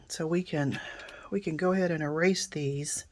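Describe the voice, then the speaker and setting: A middle-aged woman, hoarse and raspy after a coughing fit, calmly explaining a card-making project step by step and often clearing her throat. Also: measured pace. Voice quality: deep and raspy. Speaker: card-making tutorial host. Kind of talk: casual crafting tutorial narration.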